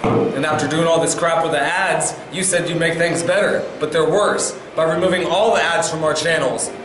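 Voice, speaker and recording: A man's voice: a little high, fairly even intonation, normal speed, close to an everyday voice. A man playing a fed-up, angry small creator protesting in a comedy sketch. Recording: very echoey, noisy room ambience.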